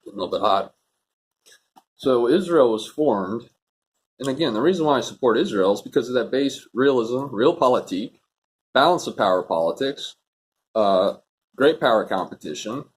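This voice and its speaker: A man's voice: mid-range voice, lively intonation, moderate pace, long pauses, slight background noise. A man hosting an off-the-cuff political commentary vlog, talking in a rambling, opinionated, casual way.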